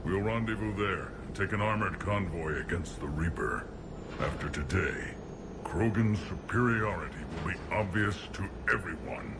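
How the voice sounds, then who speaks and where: An actor voicing a proud, commanding, warlike alien clan chief in a video game cutscene. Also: male voice, low voice, animated, normal speed, gravelly, background noise. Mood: proud, resolute. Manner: commanding, boastful. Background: music.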